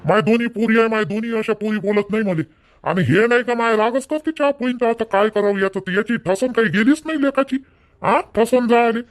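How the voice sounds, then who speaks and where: A man's voice: high-pitched, a little fast, natural voice. A man voicing an irritable middle-aged village father in a cartoon family drama, arguing in colloquial Varhadi Marathi. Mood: indignant. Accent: Varhadi Marathi from Vidarbha. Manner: colloquial, argumentative.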